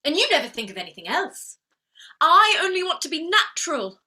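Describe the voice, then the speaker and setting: A woman's voice: very high-pitched, very animated, a little fast, bright, forceful tone, heavily acted. A woman playing a proud, wounded young flower girl turned lady in a theatrical play reading, defiant and plaintive. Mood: earnest, defensive. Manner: defiant, plaintive, emotionally outspoken.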